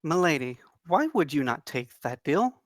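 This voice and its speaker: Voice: medium pitch, big pitch swings, moderate pace, no room sound, studio quality, lightly acted. A performer at a table read playing an earnest, timid servant who speaks properly and deferentially. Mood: worried, polite. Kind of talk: table read of a comedy fantasy script.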